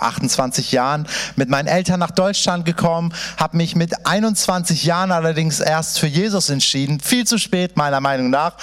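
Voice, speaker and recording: A man in his thirties, born in Iran, preaching to a church congregation in a passionate, chatty way, speaking straight to the listeners. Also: fairly high pitch. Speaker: pastor. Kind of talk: sermon introduction.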